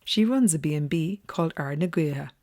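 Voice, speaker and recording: A woman's voice: low voice, expressive, a little fast, a little mellow. A woman, narrating a travel documentary as its presenter.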